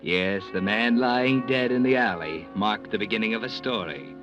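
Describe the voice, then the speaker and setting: An American man playing an ominous, mysterious all-knowing narrator in a 1940s radio mystery, deliberate and portentous. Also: lowish voice, lightly acted. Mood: grave, dramatic. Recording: muffled old radio, loud music behind.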